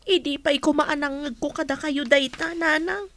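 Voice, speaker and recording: A woman's voice: sits high, normal speed, fairly bright tone. A woman with a Filipino accent playing a sulky, envious young daughter in a radio drama.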